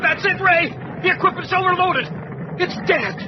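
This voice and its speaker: Man as a strained inventor, shouting-high, far off. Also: normal speed, crowd noise. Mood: strained, anxious. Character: strained male inventor pushing failing experimental equipment. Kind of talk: old-time radio drama acting. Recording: distant, muffled, very loud engine noise.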